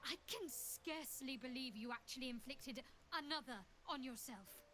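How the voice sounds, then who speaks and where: An actress playing a guarded, wry and reluctant adventuring companion in a video game, articulate and measured. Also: female voice, high-pitched, sharp tone, a little hiss or hum. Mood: wary. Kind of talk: video game character acting.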